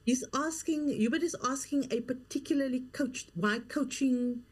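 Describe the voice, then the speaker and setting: A woman's voice: high voice, moderate pace. A middle-aged woman, a coach and author speaking as an interview guest, earnest and emphatic, often repeating her key phrases. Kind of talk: interview conversation.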